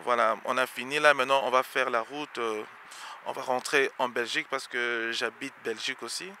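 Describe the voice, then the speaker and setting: A man's voice: medium pitch, fairly even intonation, moderate pace, bright, forceful tone, slight background noise. A man giving unscripted religious commentary as an interview guest, hesitant and repeating himself. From Congo.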